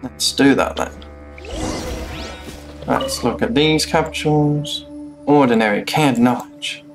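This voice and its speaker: A man's voice: medium pitch, slow pace. A young man giving casual live commentary as he streams a video game. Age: young adult. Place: small room indoors at home. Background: loud game music.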